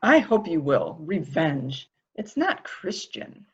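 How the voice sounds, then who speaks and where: Woman acting a husky, defensive, protective woman. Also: deep voice, sing-song, slow, dull audio, heavily acted. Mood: uneasy, evasive. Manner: defensive, hedging, primly indignant. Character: evasive, protective woman guarding an excitable boy. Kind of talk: dramatic reading of a murder mystery.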